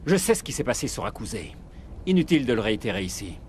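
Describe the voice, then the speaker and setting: An actor playing a ruthless, agitated corporate official in a dramatic game dub, with a volatile, sneering, cynical delivery. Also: male voice, medium pitch, sing-song, quick pace, darkish tone, a little hiss or hum, close to an everyday voice. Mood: cold, menacing. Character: ruthless, agitated male corporate official holding colonists hostage.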